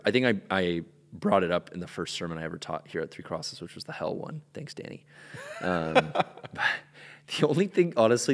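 A man who grew up in Ecuador, a pastor talking casually on a podcast, relaxed and joking with lots of filler words. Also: deep voice, in a large hall.

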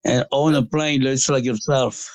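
A man in his late sixties calling in, speaking hesitantly with many fillers, grateful and warm. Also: medium pitch, steady tone, a little slow, dry recording. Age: late 60s. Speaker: caller. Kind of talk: casual chat.

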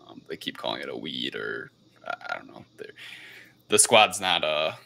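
Male podcast host, very low and creaky, loose hesitant film chat. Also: very animated, normal speed, pushed and bright. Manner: hesitant, unscripted, rambling delivery. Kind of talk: casual podcast film review.

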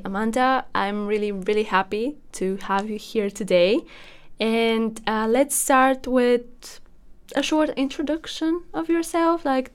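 A woman's voice: a little high, smooth. Young woman hosting a podcast. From Bulgaria. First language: Bulgarian. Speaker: podcast host. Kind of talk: casual conversational interview.

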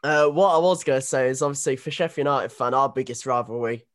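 A man's voice: mid-range voice, fast talker, a bit bright. A young man in his late teens hosting a casual podcast in an easygoing way. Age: young adult. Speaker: podcast host.

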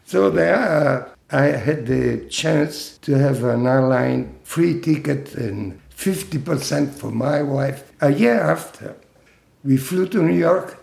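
Older man, slightly high-pitched, unhurried reminiscing interviewee, roomy echo. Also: expressive, creaky, darkish tone. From Italy. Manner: slow, halting storytelling with fillers and laughs. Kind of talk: oral history interview.

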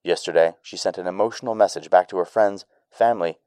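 An actor playing a sober news reporter, reading a formal, grave bulletin in an audio drama. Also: male voice, deep voice, quick pace, mellow, dry recording, lightly acted. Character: sober male news reporter on a space disaster.

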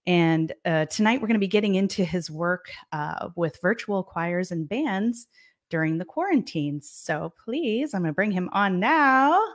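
A woman with a fairly low voice hosts an interview show. She opens by reading a prepared introduction, then asks her guest questions in an encouraging way. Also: animated, unhurried. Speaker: podcast host. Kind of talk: hosting an interview.

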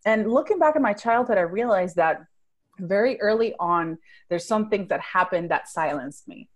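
A woman's voice: mid-range voice, lively intonation, moderate pace. Rich: An adult woman from Venezuela, speaking English as a later language. She tells her own story as an interview guest, earnest and reflective, often restarting a thought and checking in with "right?". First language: Spanish.

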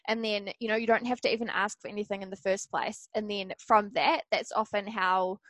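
A woman's voice: medium pitch, fairly even intonation, brisk, fairly bright tone, no echo. Female expert guest, mid-range voice, dry close sound, chatty and advising. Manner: conversational, advising. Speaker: social media expert guest. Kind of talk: casual interview answers.

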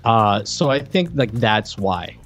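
A man co-hosting a podcast, reviewing a game in casual chat and thinking aloud with halting, repeated words. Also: fairly low pitch, lively intonation, a bit bright. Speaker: podcast co-host. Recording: clearly noisy background with clicks.

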